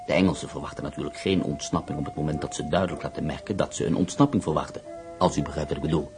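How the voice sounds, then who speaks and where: An actor in a radio play voicing a self-assured, stubborn German prisoner of war plotting an escape, matter-of-fact in manner. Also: male voice, rumbling low, racing, over music. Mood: calm, assured.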